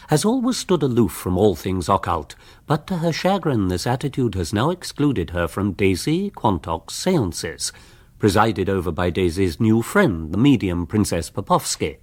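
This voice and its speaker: A man's voice: lowish voice, darkish tone. A man with an English accent narrating a radio comedy as a dryly amused, urbane storyteller.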